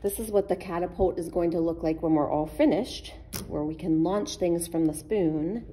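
A woman's voice: on the low side, normal speed, mellow, a little hiss or hum. A woman giving patient, simple step-by-step craft instructions for children, as a children's librarian would. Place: indoors at a wooden table.

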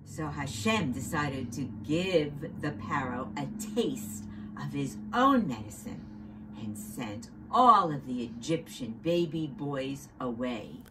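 Low-voiced, animated woman telling children stories. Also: slow. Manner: dramatic, addressing children. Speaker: storyteller. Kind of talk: expressive children's storytelling. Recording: noticeable background noise.

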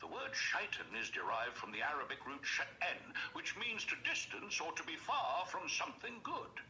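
A man's voice: on the high side, wildly swooping pitch, moderate pace, sharp tone, faint music behind, full character voice, low-quality recording. A man voicing a jolly, kindly old Santa Claus in a phone chat, exuberant and courteous, explaining things in a scholarly way. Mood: earnest, explanatory. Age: older. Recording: phone speaker playback, some background noise.

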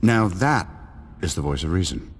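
An actor playing a confident, sardonic company boss in a video game cutscene, with dry, quick retorts. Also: male voice, low-pitched, very animated, slight background noise.

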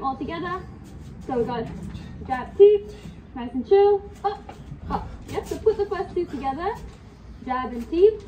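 A woman leading a kickboxing class at home, giving brisk coaching cues while sniffly from a cold, recorded on a far camera. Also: sits high, lo-fi sound. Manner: coaching cues, counting time, brisk commands. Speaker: fitness instructor. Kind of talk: live kickboxing class instruction. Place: home workout room. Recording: distant camera mic in a room with faint noise.

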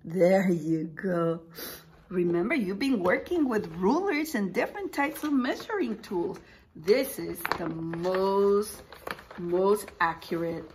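A woman in her forties, a preschool teacher reading a picture book aloud, exclaiming and putting questions to young listeners in an animated, expressive way. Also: mid-range voice, slow, slight background noise. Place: small indoor room with posters.

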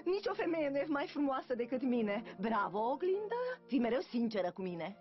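A woman's voice: fairly high pitch, big pitch swings. A woman voicing a vain, imperious evil queen in a cartoon, with a haughty, theatrical delivery. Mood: smug, vain. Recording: muffled old cartoon soundtrack, faint music.

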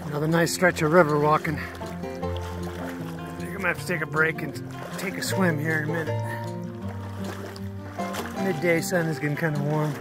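A man's voice: on the high side, fairly even intonation, moderate pace, long pauses, over loud music. A middle-aged man chatting casually to a handheld camera outdoors as a hiking vlogger, in a jokey, exclamatory way. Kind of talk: casual outdoor vlog chat. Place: outdoors in sandstone river canyons. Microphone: handheld action camera at arm's length.

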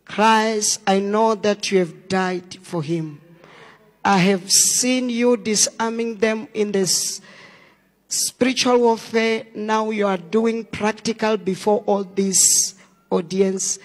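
A male pastor questioning a young man and praying over him in slow, commanding words during a church service. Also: high voice, fairly even intonation. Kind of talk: deliverance prayer at a church service. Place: church hall with an audience. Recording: long hall reverb.

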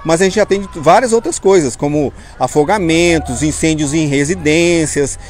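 A man in his forties with a Brazilian accent, a firefighter officer answering an interviewer haltingly, repeating words as he goes. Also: fairly high pitch, faint music behind. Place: outdoors in front of a fire station. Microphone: small mic held at chest level by interviewer. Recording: outdoor street ambience noise.

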